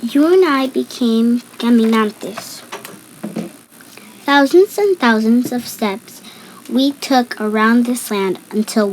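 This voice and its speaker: Female storybook reader, higher-pitched, unhurried and deliberate, some background noise. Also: poor audio. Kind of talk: picture book read-aloud.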